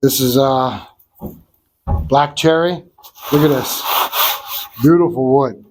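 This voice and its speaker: A man hosting a sawmill vlog, congested from a cold, explaining things in a slow, folksy, down-to-earth way. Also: medium pitch, darkish tone, no room sound, poor audio. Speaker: sawmill owner and channel host. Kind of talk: casual outdoor vlog walkthrough. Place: wood shed at a sawmill.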